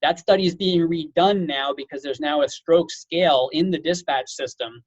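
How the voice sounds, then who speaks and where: A man's voice: fairly high pitch, normal speed, husky, no room sound, dull audio. A man giving an informal webinar lecture as a physician, explaining things conversationally with casual asides. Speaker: physician lecturer, medical director.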